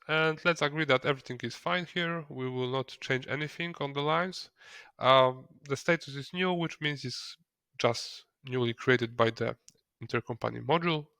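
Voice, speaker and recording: A non-native English-speaking man narrating a software tutorial step by step, in a hesitant way. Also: medium pitch, gravelly, dry recording, clean studio sound. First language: not English. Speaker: software trainer.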